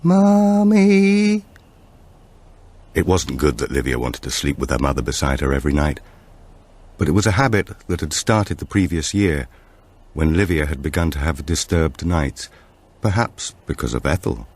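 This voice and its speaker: A man reading a thriller audiobook in a measured, dramatic storytelling manner. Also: extremely low pitch. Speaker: audiobook narrator. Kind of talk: scripted thriller narration.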